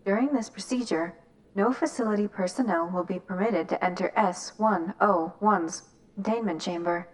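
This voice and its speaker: An impersonal facility announcement voice, played by a woman, flat and clinical. She reads containment notices at a medium pitch without warmth. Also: moderate pace, lightly acted, rough recording.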